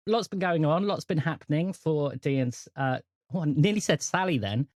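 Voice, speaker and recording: A man's voice: on the high side, brisk, darkish tone, no room sound. Jokey man hosting, dry close sound. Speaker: host and game master of a role-playing podcast. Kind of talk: casual chat introducing and recapping a game.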